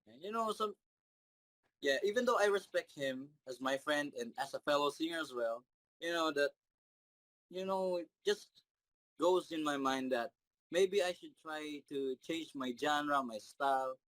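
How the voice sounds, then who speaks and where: A young man, answering interview questions in a shy, halting way with many fillers. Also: on the high side, fairly bright tone, no room sound, rough recording. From Philippines. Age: 20s. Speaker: interview guest, singer.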